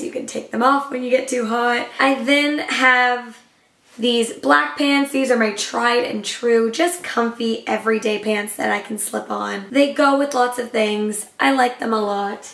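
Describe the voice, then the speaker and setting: A young woman, slightly hoarse, chatting enthusiastically as a travel vlogger showing what she packs. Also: on the high side, fairly bright tone, reverberant. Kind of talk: casual upbeat vlog talk to camera.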